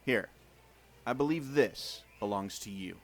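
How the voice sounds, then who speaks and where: An actor playing a bold, excitable adventure fan, protective of friends, with dramatic delivery in an audio drama. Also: male voice, on the low side, a little slow, faint music behind. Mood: impressed, apologetic.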